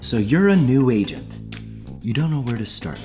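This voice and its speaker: A man reading a scripted ad voice-over with a punchy, lively delivery. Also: mid-range voice, moderate pace. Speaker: ad narrator. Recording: clear guitar music underneath.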